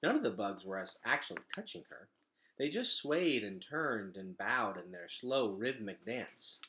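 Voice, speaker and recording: A man reading a children's novel aloud as an expressive storyteller. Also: fairly low pitch, unhurried, slight background noise.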